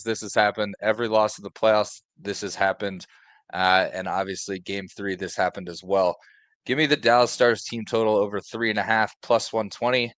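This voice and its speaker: A man co-hosting a sports betting show, giving his picks in a halting way, with many fillers. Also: on the low side, a bit bright, no room sound.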